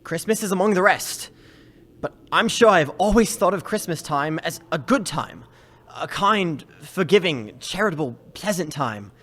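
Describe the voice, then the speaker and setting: Man voicing a cheerful young nephew, earnest, pleading, lively intonation. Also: sits high, moderate pace. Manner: earnest, pleading, good-natured. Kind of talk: live radio play acting.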